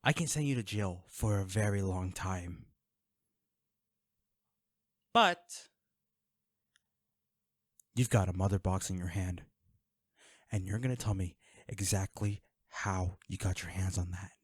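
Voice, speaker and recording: A man's voice: low-pitched, slow pace, long pauses, husky, no echo, clean studio sound, full character voice. A man playing a guarded, wealthy vigilante in an audio drama, speaking in a curt, sarcastic and threatening way to the listener. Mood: angry.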